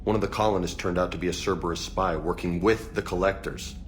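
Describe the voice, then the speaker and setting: An actor playing a casual, easygoing but burdened soldier in a video game, with informal, slangy speech. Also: male voice, sits low, steady tone, quick pace, a little hiss or hum, lightly acted. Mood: troubled, reflective. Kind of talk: conversational video game acting.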